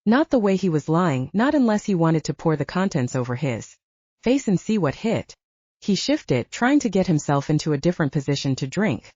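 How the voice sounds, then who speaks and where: Female audiobook narrator, dark warm tone, very dry close sound, dramatic and expressive delivery. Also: deep voice, dry recording, muffled recording. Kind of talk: scripted fiction narration.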